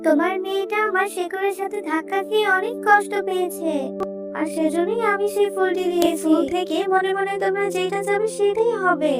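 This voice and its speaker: A woman's voice: very high voice, fairly even intonation, fairly quick, distant mic, over loud music. A woman voicing a kind, protective magic tree in a cartoon. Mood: reassuring.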